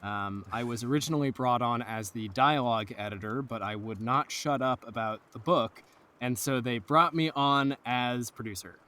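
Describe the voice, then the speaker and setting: A man's voice: mid-range voice, normal speed, bright, forceful tone. A man who produces audio drama, talking casually in an interview.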